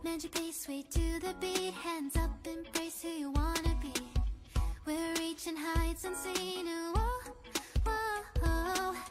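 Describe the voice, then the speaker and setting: A woman's voice: extremely high pitch, steady tone, takes it slowly. A woman singing upbeat pop vocals over a full music track. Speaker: pop singer.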